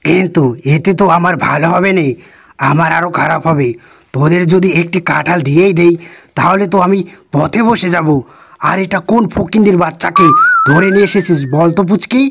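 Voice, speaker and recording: A performer voicing a stingy, rude tiger in a cartoon, scolding with contempt in a creaky voice. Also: mid-range voice, a little fast, fairly bright tone. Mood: gruff, dismissive. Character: stingy, rude, bullying tigers guarding their jackfruit. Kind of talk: cartoon voice acting. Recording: noisy recording with clicks.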